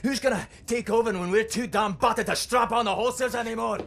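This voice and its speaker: An actor playing an older male fighter, bitter and foul-mouthed, swinging from muttering to shouting. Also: very high voice, a little fast, creaky, a little hiss or hum, heavily acted. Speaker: resistance fighter. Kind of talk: video game cutscene acting.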